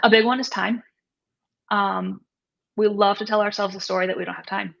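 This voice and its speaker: A woman's voice: mid-range voice, fairly even intonation, normal speed, creaky, a bit bright. A woman in her thirties or forties answering interview questions conversationally as a coach, explaining things and often checking in with "right?" and "you know". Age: 30s to 40s. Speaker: nutrition coach and guest. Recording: dull, lo-fi audio.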